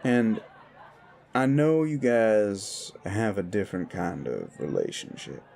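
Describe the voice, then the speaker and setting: A man voicing a worldly, protective supernatural mentor in an audio drama, measured and admonishing as he speaks. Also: fairly low pitch, vocal fry, mellow, full character voice. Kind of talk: audio roleplay dialogue.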